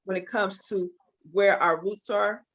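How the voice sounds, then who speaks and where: A woman's voice: medium pitch, measured pace. A woman in her forties from Los Angeles, a hair and makeup artist guest telling her story openly in a casual interview. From Los Angeles, California. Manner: open, storytelling, animated at times. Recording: dull lo-fi audio.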